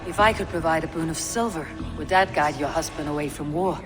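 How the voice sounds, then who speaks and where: A woman's voice: sits low, brisk, close to an everyday voice. A woman plays a level-headed Viking warrior in a historical game. She speaks directly and with measure in a reverberant hall over music. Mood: persuasive. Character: steady, diplomatic Viking warrior. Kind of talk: dramatic video game acting.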